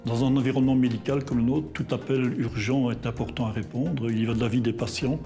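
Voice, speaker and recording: A man's voice: medium pitch, steady tone, fast, mellow. A man speaking as an institution's representative in a corporate testimonial. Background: background music.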